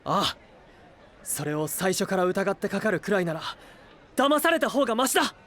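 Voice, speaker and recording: A man's voice: sits high, big pitch swings, normal speed, a bit bright, some chatter behind. A male actor playing an earnest, hot-blooded young knight in an anime audio drama, speaking with sincere, idealistic conviction. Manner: impassioned, sincere pleading.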